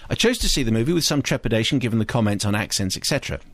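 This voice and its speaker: A middle-aged man hosting a radio film show, reading listener emails in a measured, dry way. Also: medium pitch, expressive, a bit bright, dry recording.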